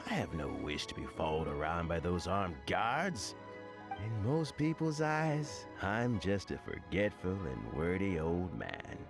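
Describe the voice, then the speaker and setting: A man's voice: deep voice, lively intonation, unhurried, over music. An actor playing a kindly, wordy old statesman in a video game, genial and rambling with frequent chuckles. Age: older.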